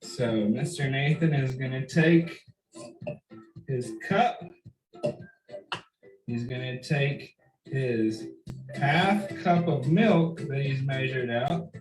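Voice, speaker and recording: A man hosting for young children, explaining simple steps directly to the viewer with questions and pauses for them to answer. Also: mid-range voice, music in the background. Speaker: children's story time host. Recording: roomy echo.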